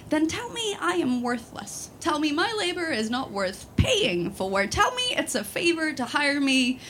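A woman's voice: sits high, a little hiss or hum. Young woman from Sweden, spoken word. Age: 20s. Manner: halting, many fillers and restarts in the talk; rhythmic, repeated refrains in the poem. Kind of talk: personal talk and spoken word poem.

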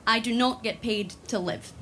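A woman's voice: fairly high pitch, moderate pace, a bit bright, slight background noise. A woman in her twenties from Sweden, talking with many fillers and restarts, then reciting a spoken word poem built on repeated refrains. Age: 20s.

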